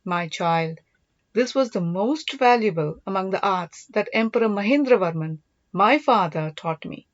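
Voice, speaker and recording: A woman voicing a formal, deliberate, fatherly emperor who plays at being a spy chief in an audiobook. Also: mid-range voice, lively intonation, lo-fi sound, close to an everyday voice. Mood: fondly proud.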